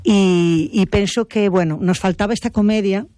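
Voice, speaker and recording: A woman theatre director with a Galician accent, talking quickly with many fillers. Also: mid-range voice, mellow, no room sound, studio quality. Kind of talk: interview answers.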